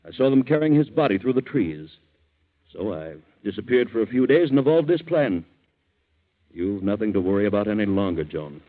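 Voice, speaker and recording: An actor playing a calm, vengeful father in a 1940s radio thriller, speaking gravely and deliberately. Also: on the low side. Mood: grave, explaining. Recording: tinny narrow-band old radio recording.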